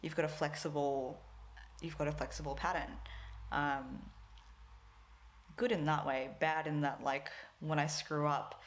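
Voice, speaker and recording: A woman's voice: deep voice, flat delivery, measured pace, pauses often. A woman, a Tagalog speaker, chatting casually to camera as a crafting vlogger, rambling with lots of fillers and frequent laughs. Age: 20s.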